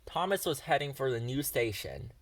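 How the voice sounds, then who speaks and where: A man narrating a children's storybook in an expressive read-aloud style. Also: medium pitch, moderate pace, dry recording. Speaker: storybook reader.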